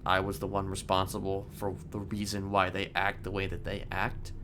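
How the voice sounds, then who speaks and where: A man in a game roleplay voicing a calm, sinister criminal manipulator who speaks haltingly and deliberately in formal words. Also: low-pitched, background noise. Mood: measured, confessional. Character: soft-spoken sinister male criminal manipulator, calmly confessing. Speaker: roleplay streamer. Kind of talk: improvised in-character game roleplay.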